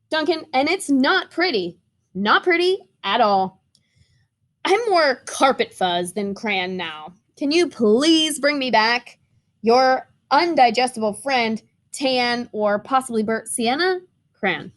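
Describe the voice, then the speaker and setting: Woeful chewed-up crayon, played by a woman, high-pitched. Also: lively intonation, unhurried, a bit bright. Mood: horrified, woeful. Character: traumatized, chewed-up brown crayon, melodramatically miserable.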